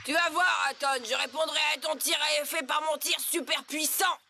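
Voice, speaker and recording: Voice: high-pitched, steady tone, moderate pace, vocal fry, music in the background. A performer in a French cartoon dub playing a fierce, proud, hot-tempered teenage boy soccer striker, intense and boastful. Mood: defiant.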